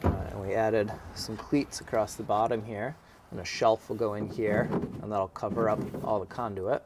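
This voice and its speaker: A man in his thirties, a boatbuilder, explaining his work in a casual, relaxed way with frequent "uh" fillers, recorded in an indoor workshop. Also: lowish voice, normal speed, noisy background. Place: indoor boat shop.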